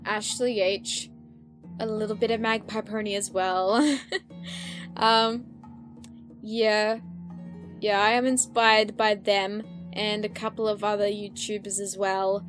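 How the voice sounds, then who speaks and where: A woman's voice: medium pitch, fairly even intonation, measured pace. A woman in a casual solo question-and-answer chat, speaking expressively with playful exasperation as a YouTuber. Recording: background music behind the voice. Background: music.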